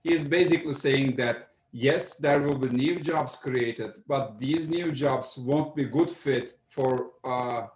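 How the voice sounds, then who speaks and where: A man giving an economist's view in an online seminar, explaining carefully with many pauses. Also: medium pitch, a little mellow. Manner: explanatory, hesitant, many uhs. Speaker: economics panelist. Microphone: video call. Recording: echoey room.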